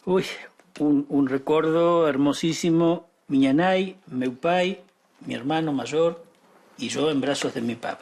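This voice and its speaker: A man's voice: fairly high pitch, takes it slowly, darkish tone. A man over fifty, introducing himself and his family casually in an interview. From born in Galicia, raised in Argentina. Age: 50s or older. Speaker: emigrant interviewee, doctor.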